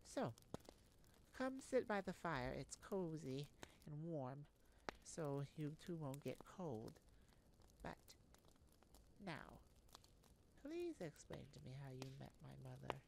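A modest, weary castle scholar voiced by a woman talks slowly and hesitantly. The figure is kindly and soft-spoken, from a fantasy audio drama with faint background noise. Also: sits low, very animated, vocal fry, full character voice. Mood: welcoming, apologetic. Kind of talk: amateur fantasy audio roleplay.

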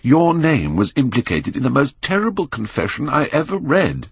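A man voicing an earnest, anguished Victorian painter who pleads and moralises gravely in an audiobook. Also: medium pitch, wildly swooping pitch, moderate pace, no room sound, voice pushed up, exaggerated voice. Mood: urgent, distressed.

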